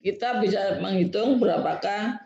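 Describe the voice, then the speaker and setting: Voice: mid-range voice, normal speed, vocal fry, a little mellow. A lecturer explaining technical material step by step to students, repeating points and often checking in with a short tag word. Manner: repetitive, checks in with a tag word after statements. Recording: dull, echoey lo-fi room recording.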